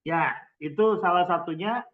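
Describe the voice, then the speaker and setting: A man's voice: high-pitched, moderate pace, heavy background noise. Tinny-sounding man hosting a webinar. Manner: hesitant, many filler sounds, mixes in English words. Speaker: webinar host. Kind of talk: casual online presentation. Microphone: video call. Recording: tinny phone-like call audio.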